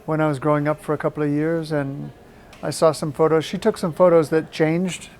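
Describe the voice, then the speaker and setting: A middle-aged man from Maine with an American accent, talking reflectively in an interview in a halting, thoughtful way. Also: a little high, level tone, a little mellow. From Maine, United States. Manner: halting, thoughtful, repeats words for emphasis.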